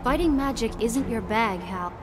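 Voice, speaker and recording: Actress as an earnest, high-voiced young woman. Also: animated, normal speed, over loud music, natural voice. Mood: wary. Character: anxious young woman possessed by an evil witch. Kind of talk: video game character acting.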